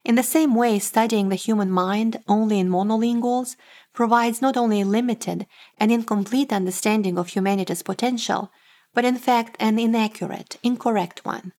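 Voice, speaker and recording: Measured woman with a Moldovan Romanian accent. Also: mid-range voice, a little mellow. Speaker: author and professor. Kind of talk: scripted talk.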